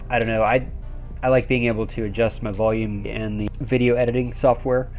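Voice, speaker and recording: A man's voice: fairly low pitch, darkish tone. A middle-aged man explaining things in a casual, conversational tutorial style, correcting himself as he goes. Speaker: presenter of a how-to video for a motorsports team.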